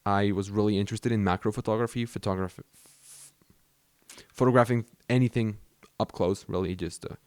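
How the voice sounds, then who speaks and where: A man's voice: lowish voice, fairly even intonation, normal speed, a little mellow, no echo. A young man from Mexico whose first language is Spanish, rambling casually about his camera gear with many fillers and restarts. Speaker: vlogger.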